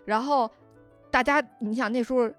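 A woman's voice: on the high side, fairly even intonation, moderate pace. A woman co-hosting a casual podcast, retelling stories in a chatty way with joking asides, with music behind her. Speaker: podcast co-host. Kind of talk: casual chat, retelling drama plots. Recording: music under the voice. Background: background music.

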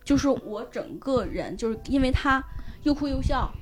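A woman explaining things in animated casual chat as a guest on a talk show. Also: high voice, moderate pace. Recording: background music under the voice.

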